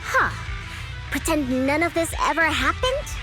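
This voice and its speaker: High little game girl, talks like an old-timer driver, music behind. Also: very animated, a little slow. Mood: indignant. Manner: folksy, old-timer phrasing. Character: folksy young woman driver talking like an old-timer. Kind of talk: English video game dub acting.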